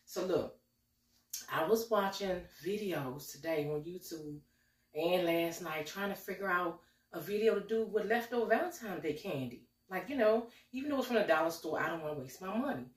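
A woman's voice: lowish voice, lively intonation, moderate pace. Chatty woman with a slight cold. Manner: chatty, folksy, talks to viewers as y'all. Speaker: cooking vlogger. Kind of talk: casual cooking vlog. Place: home kitchen, indoors. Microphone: camera a few steps away. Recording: roomy kitchen echo, mic at a distance.

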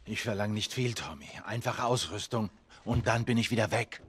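Gruff, husky middle-aged survivor, reverberant. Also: male voice, on the low side, normal speed, a bit bright. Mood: guarded, insistent. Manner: terse, insistent, easily exasperated. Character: gruff, weary, stubborn middle-aged male survivor, older brother. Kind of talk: dramatic video game acting.

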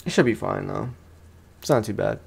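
A man's voice: on the low side, moderate pace, gravelly, husky. Man, slangy casual gaming commentary. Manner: slangy, talks to himself and to the game enemy. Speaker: gaming host, let's play streamer.

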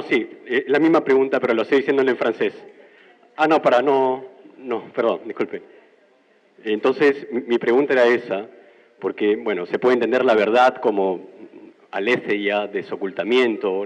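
A lower-voiced Peruvian man with a Peruvian accent stands in the audience and speaks into a handheld mic. He builds a long, formal question in careful academic terms. The sound is dull and boomy, with a long echo in the hall. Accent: Peruvian Spanish. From Peru. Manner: articulate, academic, long-winded. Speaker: audience member asking a question. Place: university classroom. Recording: muffled, roomy lecture hall.

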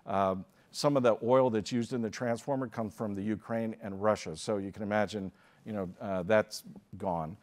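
An older American man speaking at a lectern microphone on a conference stage, giving a keynote with measured delivery and frequent filler pauses. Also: lowish voice, steady tone, darkish tone. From United States.

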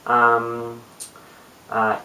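A young man in his late teens with a New Zealand accent, chatting casually to camera in a low-key, hesitant mumble. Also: monotone, extremely slow, bright, forceful tone. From Christchurch, New Zealand. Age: young adult, late teens. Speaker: amateur video blogger. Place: small bare room indoors. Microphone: webcam at a short distance. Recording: roomy, distant webcam mic with hiss.

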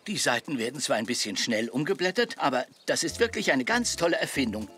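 A man voicing a kindly, curious older grandpa zebra in a children's cartoon, gently encouraging. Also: medium pitch, very animated, a little fast, faint music behind. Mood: tactful. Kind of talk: dubbed children's cartoon acting.

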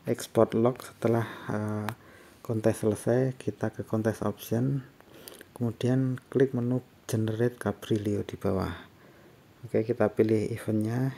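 A man gives a casual step-by-step software tutorial, explaining in a halting way. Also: fairly low pitch. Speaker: tutorial presenter. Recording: faint background noise.

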